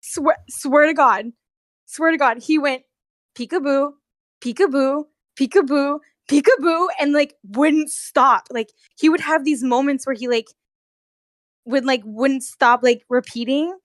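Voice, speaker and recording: A woman telling work stories as a guest in a casual, animated, giggly chat with some swearing, on an amateur recording. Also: sits high, a little slow, no room sound, clean studio sound. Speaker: guest, costumed theme park character performer. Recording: amateur recording with bad audio.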